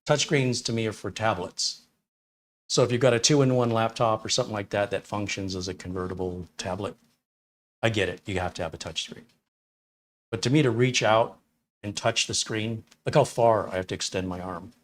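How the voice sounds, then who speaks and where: A man's voice: fairly low pitch, steady tone, moderate pace. A man in his fifties or sixties, from Detroit, hosting a casual live stream as a tech reviewer, rambling on and answering viewer questions with blunt opinions. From Detroit, USA. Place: small home studio room.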